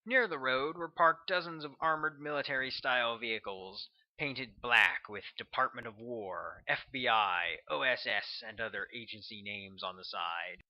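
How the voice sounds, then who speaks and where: A man's voice: mid-range voice, moderate pace, few pauses. A man narrates a thriller audiobook in a mid-range voice. He reads scripted prose as the author of the book. The recording is dull and lo-fi. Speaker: author reading own audiobook.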